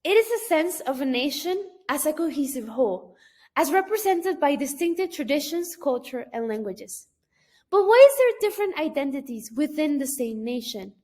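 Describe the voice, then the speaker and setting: A woman's voice: high-pitched, sing-song, normal speed, a little mellow. A young woman from Mexico, a Spanish speaker with a Mexican accent, reading a class presentation in careful, list-like phrases. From Mexico (Guadalajara). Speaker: student.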